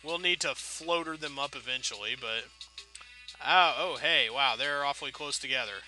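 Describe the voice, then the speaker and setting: A man's voice: medium pitch, moderate pace, pushed and bright, faint music behind, poor audio. A man giving casual, rambling video game commentary as a let's play host, wordy, wry and self-deprecating.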